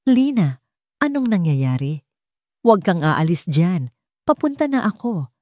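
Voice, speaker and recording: A woman voicing a calm, protective man with concern in his serious delivery, as audiobook dialogue. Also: fairly low pitch, measured pace, no echo, studio quality. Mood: serious, urgent. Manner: calm with a trace of worry, serious.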